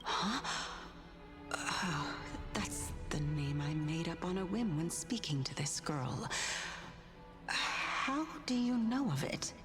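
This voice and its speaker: A woman's voice: on the low side, expressive, takes it slowly. A woman voicing a proud, dismissive female titan in a video game, with a haughty, theatrical manner and music behind her. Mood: indignant.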